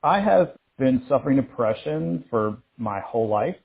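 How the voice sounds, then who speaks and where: A man in his thirties speaking as an interview guest, halting and reflective, restarting his sentences often. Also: medium pitch, lively intonation, gravelly, husky, narrow, tinny audio. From United States. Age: 30s. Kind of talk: candid interview.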